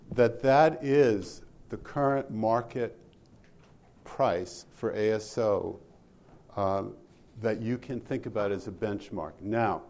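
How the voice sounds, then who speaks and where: A man's voice: lowish voice, dark tone. A man presenting pricing data from slides at an industry session, asking the audience for input and restarting sentences often. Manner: explains slides, polls the audience, many restarts.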